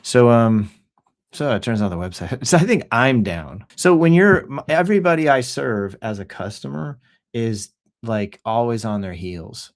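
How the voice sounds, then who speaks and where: A middle-aged man hosting a livestream, talking casually and jokily in an animated, rambling, off-the-cuff way with rhetorical questions. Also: medium pitch, moderate pace. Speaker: host of a livestream news show. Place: office.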